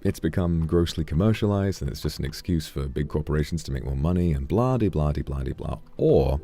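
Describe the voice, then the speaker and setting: A man with an extremely low voice, dark and gravelly at the edges. He chats calmly and reflectively while streaming a game, pausing now and then to thank viewers for donations. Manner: calm, reflective, earnest. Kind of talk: casual livestream chat while gaming.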